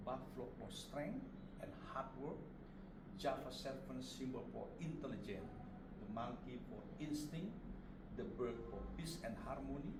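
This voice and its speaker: A man with an Indonesian accent explains his craft to visitors as a puppet maker, in simple broken English and short phrases. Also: fairly high pitch. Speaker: puppet maker and master giving a demonstration. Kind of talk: informal craft demonstration to visitors. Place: puppet studio, indoors. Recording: distant mic, echoey room, loud background noise.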